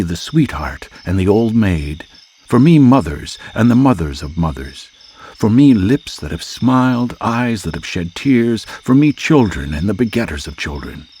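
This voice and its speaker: A man reciting poetry dramatically as a voice artist, with measured, declamatory delivery and deliberate pauses between phrases. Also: low-pitched, mellow, breathy edge. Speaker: voice artist reading poetry aloud. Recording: music and nature soundscape behind voice. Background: music, chirping insect sounds.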